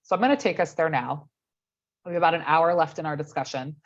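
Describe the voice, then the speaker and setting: A woman with a cold leading an online policy meeting, courteous and measured, often pausing on ums. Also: sits low. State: has a cold, losing her voice. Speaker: meeting facilitator. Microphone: video call. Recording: dull lo-fi video call audio.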